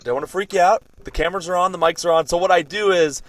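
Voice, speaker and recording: A man hosting a podcast interview, eager and excitable, asking questions with many "you know"s. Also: high voice, fast talker. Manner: excitable, eager, lots of fillers. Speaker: podcast host. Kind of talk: casual interview.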